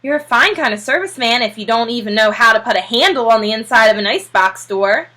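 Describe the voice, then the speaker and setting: A woman's voice: a little high, lively intonation, quick pace, bright, forceful tone. A woman voicing a proud, earnest man of the house in a storybook, insistent and slightly huffy. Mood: indignant.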